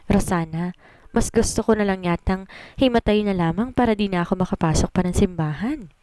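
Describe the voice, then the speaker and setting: A woman's voice: medium pitch, a little fast, mellow, lightly acted. A woman voicing a jittery, chatty young bridesmaid, dramatic and exclamatory, in a Filipino romance audio story. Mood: reluctant.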